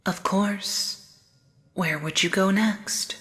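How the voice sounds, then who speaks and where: A woman playing a living doll guide in a gothic audio drama, soft, solemn and measured with an uneasy undertone. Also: medium pitch, fairly even intonation. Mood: calm warning. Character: calm, eerie living doll guide, female. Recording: long ghostly reverb.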